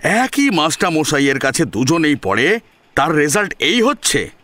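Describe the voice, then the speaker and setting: A man's voice: on the high side, sing-song, moderate pace, sharp tone, dry recording. A man playing an angry, proud father in an audio drama, scolding with indignant, scornful rhetorical questions. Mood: exasperated, scornful.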